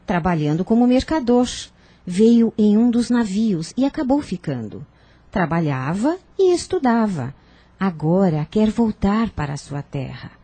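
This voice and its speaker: A woman playing a firm, persuasive older aunt in a radio soap opera, genteel, formal and measured. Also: medium pitch, very animated, warm tone, breathy edge, dry recording. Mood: reassuring.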